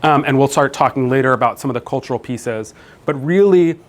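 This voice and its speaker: A man in his thirties gives a keynote talk in a conversational, unhurried way, full of fillers and restarts. His voice sits at an ordinary middle pitch. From New Jersey, US. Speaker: keynote speaker, professor. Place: conference room.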